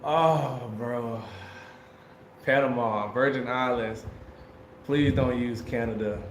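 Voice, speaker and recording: A man's voice: mid-range voice, takes it slowly. Slangy American man livestreaming. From United States. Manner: slangy, laid-back, laughs often. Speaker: livestream host. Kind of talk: casual livestream chat. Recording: echoey room with faint noise.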